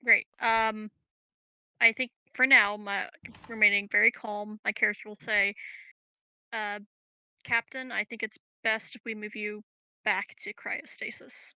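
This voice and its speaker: A woman's voice: medium pitch, little pitch movement, a little slow, bright, forceful tone, smooth, no echo, rough recording. A giggly, hesitant woman chatting casually as a player in a role-playing game.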